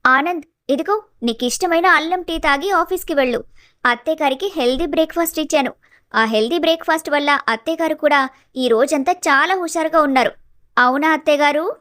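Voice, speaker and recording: A woman playing a sly, sweetly bossy young daughter-in-law in an animated family story. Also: high voice, a little fast, no echo, clean studio sound. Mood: sweet, cheerful. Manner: mock-sweet, solicitous.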